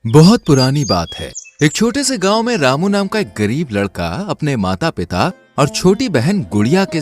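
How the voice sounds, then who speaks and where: Man, story narrator, lively swooping pitch, slightly high voice.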